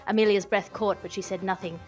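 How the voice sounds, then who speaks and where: A woman's voice: mid-range voice, steady tone, fast talker, faint music behind, lightly acted, rough recording. A woman performing an earnest, florid narrator of a mock period-romance audiobook, dramatic in delivery.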